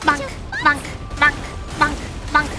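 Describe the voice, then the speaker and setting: A woman's voice: high-pitched, completely flat delivery, slow pace, over music. A woman livestreaming gameplay as a vtuber, chattering playfully to herself with little babbled sound words. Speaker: vtuber game streamer. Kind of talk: livestream gameplay commentary, reading game dialogue aloud.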